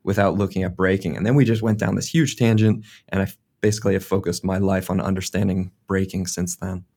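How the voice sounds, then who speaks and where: An American man in his thirties, a sports scientist answering interview questions in a relaxed, casual way with frequent fillers. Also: low-pitched, a little fast, gravelly. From United States. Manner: casual, uses many fillers like "like" and "kind of".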